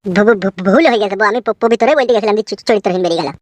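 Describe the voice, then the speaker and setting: A man's voice: sits high, very fast, no room sound, natural voice. A man voicing a simple, sheepish but stubborn village son-in-law in a cartoon, bumbling and protesting. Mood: flustered. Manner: hesitant, bumbling, whiny protesting. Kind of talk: Bengali cartoon acting.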